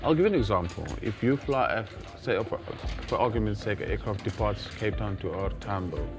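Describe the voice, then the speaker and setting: Hesitant, low-voiced South African man explaining. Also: a little fast, over music. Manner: hesitant, formal, stop-start phrasing. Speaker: guest, air traffic controller. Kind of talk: explanatory interview answers. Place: TV studio.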